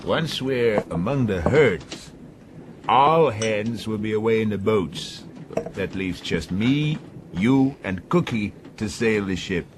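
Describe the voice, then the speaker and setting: An actor playing a domineering, hard-driving sea captain in a radio drama, blunt and commanding. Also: male voice, lowish voice, expressive, slow, background noise. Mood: stern, menacing.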